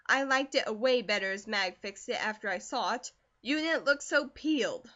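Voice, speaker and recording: A hard-bitten farm mother with a sharp, clipped scolding tongue, as a woman reading a storybook puts her on. Her voice sits a little high and comes through a dull, lo-fi recording. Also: brisk.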